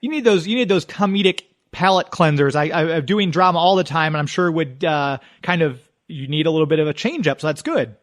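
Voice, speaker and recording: A man with a high-pitched voice hosts a radio interview. He is casual and chatty, agreeing readily and wandering through long questions. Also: brisk, hardly any pauses. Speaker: radio show host, interviewer.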